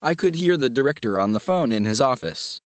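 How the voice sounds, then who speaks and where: Guy narrating a creepy campfire tale, even and measured, dry no-room sound. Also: mid-range voice, no echo. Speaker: storyteller. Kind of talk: scripted narration of a horror story.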